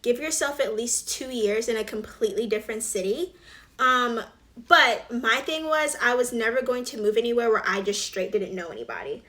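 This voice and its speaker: Young woman, mid-twenties, a touch high-voiced, chatty get-ready-with-me vlogger full of fillers and asides. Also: animated, normal speed, pushed and bright. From Alabama. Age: 20s. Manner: chatty, filler-heavy, drops to whispered asides. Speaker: beauty vlogger. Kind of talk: casual get-ready-with-me chat.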